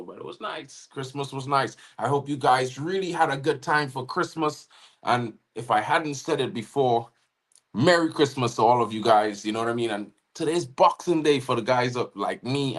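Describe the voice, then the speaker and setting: A man from Trinidad and Tobago with a Trinidadian accent, co-hosting a live football watchalong in casual chat, giving shout-outs to viewers and often saying "you know what I mean". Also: medium pitch. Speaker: watchalong co-host.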